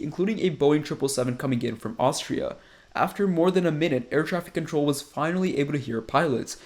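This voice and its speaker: A young man giving a scripted, measured narration for an aviation explainer. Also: on the high side, darkish tone. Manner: measured, informative delivery.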